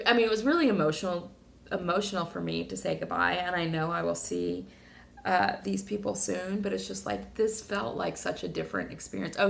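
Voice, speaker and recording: Slightly hoarse woman with a gravelly voice. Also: lowish voice. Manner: rambling, emotional, many fillers and restarts. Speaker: vlogger. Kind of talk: casual personal video diary.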